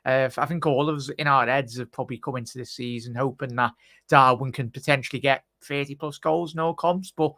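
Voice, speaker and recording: Man, host, casual football reaction chat, video call, bright tone, chatty. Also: medium pitch, steady tone, brisk, no echo, studio quality. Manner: chatty, calls everyone mate.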